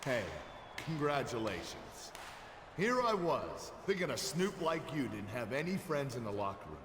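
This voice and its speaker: An actor playing a sarcastic, suspicious character in a video game cutscene. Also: male voice, medium pitch, big pitch swings, normal speed, close to an everyday voice. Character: sarcastic, suspicious male character confronting a snoop. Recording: quiet, echoey hall reverb, faint noise.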